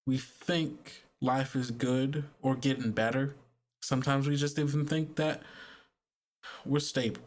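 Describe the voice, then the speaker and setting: A man voicing a gentle, persuasive woman in a tabletop game, speaking in a folksy, halting, consoling way. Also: mid-range voice, steady tone, close to an everyday voice. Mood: earnest, persuasive.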